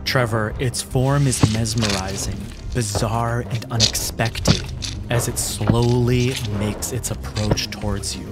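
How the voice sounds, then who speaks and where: A man narrating a tabletop game as game master, in a dramatic, deliberate, descriptive storytelling manner. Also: fairly low pitch, steady tone. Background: music behind the voice.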